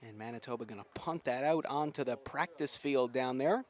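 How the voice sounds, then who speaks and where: A man's voice: mid-range voice, normal speed, a little mellow. A man calling live rugby play-by-play outdoors in an enthusiastic, folksy, slangy way. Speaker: play-by-play sports commentator. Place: outdoors at a sports field.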